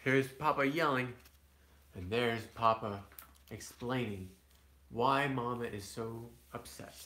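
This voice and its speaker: A man's voice: mid-range voice, takes it slowly, pushed and bright, a little hiss or hum. A man reading a picture book aloud to his children, with conversational asides. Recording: echoey room.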